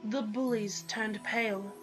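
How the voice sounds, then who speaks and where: A woman's voice: medium pitch, fairly even intonation, a little slow, a bit bright. A young woman giving a dramatic first-person reading of a story, on a lo-fi recording with music behind. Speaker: reader of own story.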